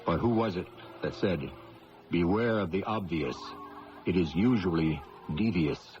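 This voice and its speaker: A man's voice: deep voice, a little slow, darkish tone, soft background music. A man hosting a radio mystery program with wry, theatrical scripted narration. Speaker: program host. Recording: muffled old radio broadcast.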